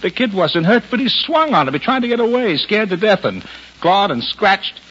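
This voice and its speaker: An actor playing a gruff but soft-hearted police lieutenant in a 1950s American radio drama, emphatic and exasperated, with an American accent. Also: male voice, sits high, big pitch swings, moderate pace, exaggerated voice. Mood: weary, worked up. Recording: muffled vintage radio recording.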